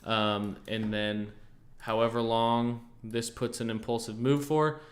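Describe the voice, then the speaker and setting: A young American man hosting a casual livestream, easygoing and thinking aloud, often pausing on fillers and restarting his sentences. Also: mid-range voice, little pitch movement. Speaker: trading livestream host. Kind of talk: casual livestream chat answering viewers.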